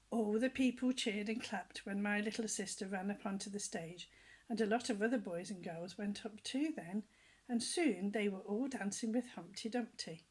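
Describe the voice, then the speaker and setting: An older woman reading a children's story aloud with gentle, lively storytelling, often dropping to soft whispers and asking her young listeners questions. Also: mid-range voice, breathy edge.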